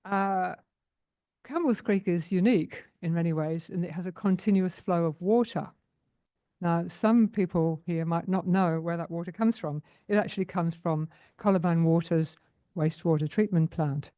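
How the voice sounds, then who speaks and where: A woman's voice: fairly low pitch, dark tone, no echo. A woman, president of a community group, speaking earnestly at a council meeting's public question time. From Australia. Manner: earnest, unhurried, with many fillers.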